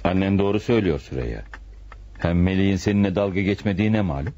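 An actor playing a skeptical, grumbling middle-aged father with a husky voice in a muffled radio play. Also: low voice, fast talker, dark tone. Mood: skeptical, irritated. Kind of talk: radio theatre acting. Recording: muffled radio drama recording.

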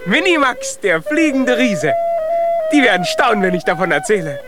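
A man's voice: high voice, sing-song, normal speed, over loud music. An actor in a children's radio play voicing a tiny, plucky boy giant who is eager and boastful, with an earnest, exclaiming delivery. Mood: exuberant.

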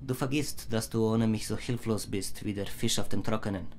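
An actor playing a sly, greedy hotel worker laid up in bed in a German radio play, scoffing and mocking with emphatic delivery. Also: male voice, fairly low pitch, steady tone, moderate pace, darkish tone, a little hiss or hum, natural voice. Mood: sneering, indignant.

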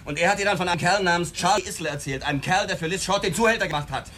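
An actor playing an aggressive, impatient cop pressing a suspect with accusing questions in a radio drama. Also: male voice, high-pitched, racing, a bit bright, a little hiss or hum.

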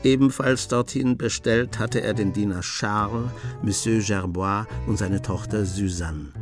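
A man with a low voice narrates a radio crime drama. The delivery is scripted literary storytelling, with faint music behind it. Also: vocal fry.

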